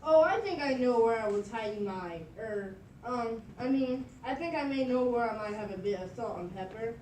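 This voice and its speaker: Small-town mayor for a puppet play woman acting: fussy, wary of outsiders, high voice, far from the mic in a roomy space. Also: expressive, moderate pace, darkish tone, close to an everyday voice. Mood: sheepish. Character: fussy village mayor, suspicious of strangers. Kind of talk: puppet show read from a script. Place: echoey indoor meeting room at a library. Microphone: far camera microphone. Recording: distant mic, echoey room, faint noise.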